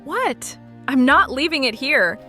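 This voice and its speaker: Woman acting a bright, soft-hearted fantasy heroine. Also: sits high, lively intonation, measured pace, exaggerated voice. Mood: defiant. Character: soft-hearted, stubborn young female animal rescuer. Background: background music.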